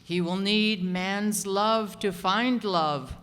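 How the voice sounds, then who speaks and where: A man performs a devout, self-sacrificing priest for a radio play. The delivery is unhurried, earnest and archaic, and it carries through a long hall reverb. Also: high voice, fairly bright tone, clean tone. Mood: earnest pleading. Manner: earnest, solemn, archaic speech. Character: gentle, self-sacrificing old priest, pleading for souls. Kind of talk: old-time radio drama acting.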